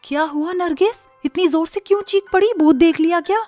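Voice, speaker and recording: A woman's voice: extremely high pitch, brisk, a little mellow, no echo, faint music behind, voice pushed up. A woman voicing a snide, mocking young daughter-in-law with an exaggerated, taunting manner for a cartoon. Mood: alarmed. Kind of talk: cartoon story dubbing.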